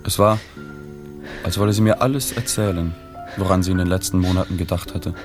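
Actor as a deep-voiced, reserved journalist narrator. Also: male voice, lightly acted. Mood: detached melancholy. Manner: measured, sober, understated. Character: reflective, reserved male journalist recalling a dying woman. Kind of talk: radio drama first-person narration. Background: music.

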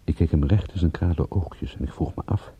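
A man playing a world-weary, tender private detective in a radio drama, speaking in a measured, intimate way. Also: rumbling low, husky, highs cut off, full character voice. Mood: reflective. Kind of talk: radio drama acting with first-person narration.

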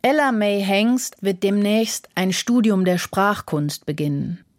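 A woman narrating a radio feature in a measured, matter-of-fact way. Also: mid-range voice.

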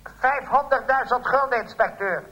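A smug blackmailer speaks with polite, calm menace in a radio parody. The voice is extremely high and piercingly bright. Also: male voice, moderate pace, low-quality recording. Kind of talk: comic radio play acting.

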